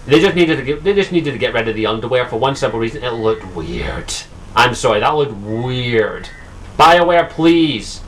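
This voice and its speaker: A man's voice: medium pitch, lively intonation, normal speed, a bit bright, slight background noise, poor audio. Young Scottish man rambling about games. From Scotland. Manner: rambling, profane, lots of ums and restarts. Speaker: gaming vlogger. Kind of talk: casual solo commentary.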